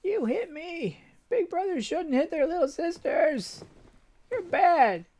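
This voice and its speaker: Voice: big pitch swings, takes it slowly, a little mellow, exaggerated voice. A reader voicing a dying young woman who is delirious and pleads in a childlike, tearful voice. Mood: delirious pleading. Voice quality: high, tearful, calling out. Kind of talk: audiobook character voice.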